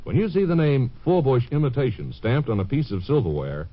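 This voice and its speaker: Brash male bargain pitchman, a comedian's act, swooping emphatic delivery, mock-earnest, muffled vintage radio sound. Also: brisk, no echo. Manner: emphatic, deadpan salesmanship. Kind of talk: 1950s radio ad parody. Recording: muffled 1950s radio broadcast.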